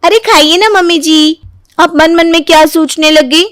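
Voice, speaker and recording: Woman acting a very high, sugary young daughter-in-law. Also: fairly even intonation, moderate pace, fairly bright tone, dry recording, studio quality. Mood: pestering. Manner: sing-song mock innocence, eager and insistent. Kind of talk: animated moral story voice acting.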